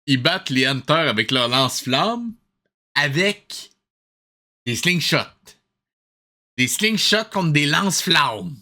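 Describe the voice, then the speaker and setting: A man's voice: on the high side, lively intonation, takes it slowly, long pauses, pushed and bright. Exasperated Québécois man reviewing a cartoon. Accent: Québécois French. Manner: exasperated, incredulous, self-mocking. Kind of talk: sarcastic reaction commentary.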